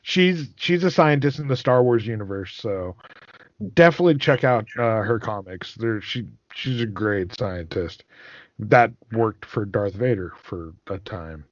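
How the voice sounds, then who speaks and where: A man's voice: fairly low pitch, animated, unhurried, no room sound. Casual male interviewer, dry muffled sound. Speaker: podcast interviewer.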